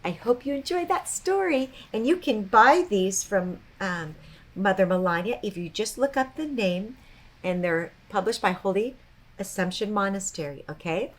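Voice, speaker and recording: A woman's voice: mid-range voice, normal speed. Woman reading a children's story aloud. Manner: expressive, child-directed storytelling with asides.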